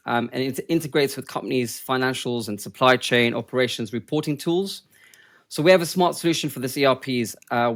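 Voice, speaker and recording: A man in his thirties from Kenya, living in London, giving conversational answers as an interview guest. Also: mid-range voice. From born and raised in Kenya, later moved to London. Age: 30s. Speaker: podcast guest, sales development rep. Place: home office.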